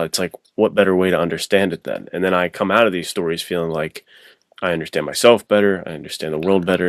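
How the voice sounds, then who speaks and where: A man's voice: low voice, dry recording, clean studio sound. A man chatting casually and reflectively as a podcast guest, informal and earnest, thinking aloud in long runs. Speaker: podcast guest, adventure novelist.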